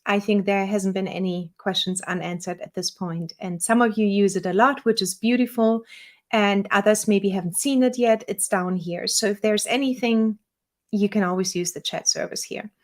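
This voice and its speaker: A woman with a German accent, the owner of a small software company, walking viewers through a software tutorial in a relaxed, chatty way with fillers. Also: medium pitch, normal speed. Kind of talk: screen-share software tutorial.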